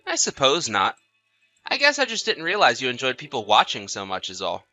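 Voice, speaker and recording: A man's voice: medium pitch, wildly swooping pitch, brisk, sharp tone, soft background music, exaggerated voice. A man playing an easygoing, sociable husband in chatty, casual audio drama dialogue. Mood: easygoing, animated. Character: easygoing husband, sociable, placating, mildly defensive.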